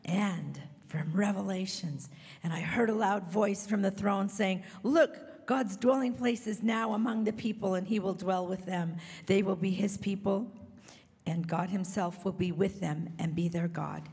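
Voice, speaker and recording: A hoarse woman reading scripture aloud earnestly and carefully on a church stage. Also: medium pitch, steady tone, measured pace, darkish tone. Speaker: scripture reader. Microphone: handheld microphone, far camera. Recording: reverberant hall.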